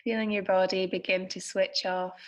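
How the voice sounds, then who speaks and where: A woman speaks as a meditation guide, with a medium-pitched voice. Her delivery is soothing and gentle, giving soft instructions in short, unhurried phrases with room left between them. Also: level tone.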